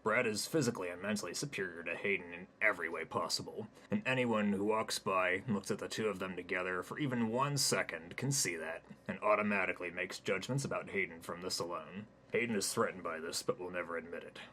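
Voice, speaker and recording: A man reading his own crude comic story in a deadpan narrating style. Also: low voice, quick pace, few pauses, a little hiss or hum, low-quality recording. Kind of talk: comic stream-of-consciousness narration.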